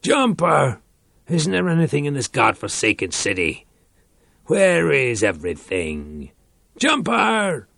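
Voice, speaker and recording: An actor playing a desperate man sliding into panic and madness, pleading and crying out in a hoarse voice. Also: on the high side, huge pitch swings, slow, sharp tone, no echo, clean studio sound, exaggerated voice. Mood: panicked. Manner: pleading, cries out, wavers into sing-song. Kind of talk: radio drama acting.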